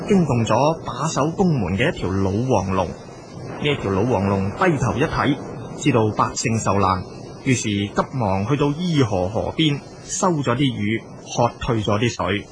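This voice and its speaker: Male narrator, Cantonese, scripted folk storytelling, mid-pitched, clear noise behind the voice. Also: lively intonation, normal speed.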